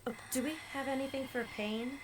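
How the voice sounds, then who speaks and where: A woman's voice: high voice, fairly even intonation, fairly quick, noisy background, lightly acted. A woman playing a young, focused, caring American healer in an audio drama, plainspoken and slangy. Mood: relieved, practical. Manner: plainspoken, slangy, takes charge. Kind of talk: naturalistic audio drama acting. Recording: loud music under the voice.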